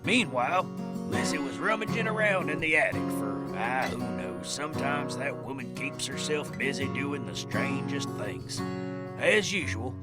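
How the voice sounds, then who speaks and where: A man's voice: mid-range voice, lively intonation, unhurried, close to an everyday voice. A man playing a wry, rambling western narrator who tells a comic tale with folksy, conversational asides. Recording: loud guitar music under the voice.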